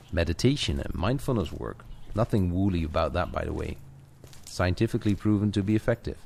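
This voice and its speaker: A man's voice: low voice, vocal fry, darkish tone. A man coaching the listener in an informal, conversational audio-walk narration, addressing them directly. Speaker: coach guiding a walking audio lesson. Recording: outdoor ambience noise with clicks. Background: added outdoor walking ambience, birds.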